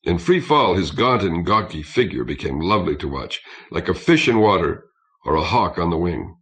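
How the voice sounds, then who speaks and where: A man reading science fiction as a scripted audiobook narration, in a measured, literary way. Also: low-pitched, very animated.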